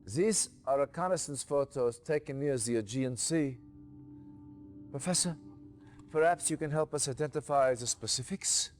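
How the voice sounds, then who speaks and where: A man's voice: mid-range voice, moderate pace, natural voice. A man playing a stern briefing officer. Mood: formal. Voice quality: crisp, authoritative. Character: stern male military officer briefing a commander. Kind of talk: game mission briefing.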